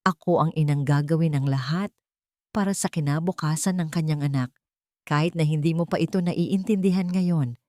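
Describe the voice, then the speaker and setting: A woman voicing a proud older rich matriarch in a dramatic story, calm, hard and without remorse. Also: sits low, normal speed, no room sound, clean studio sound, lightly acted. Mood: hard conviction. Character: cold, proud, unrepentant older wealthy matriarch.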